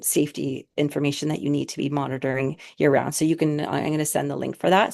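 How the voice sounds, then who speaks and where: A woman presenting slides on a video call, hoarse from a cold, hesitant and full of fillers and restarts. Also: sits low, darkish tone. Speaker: webinar presenter.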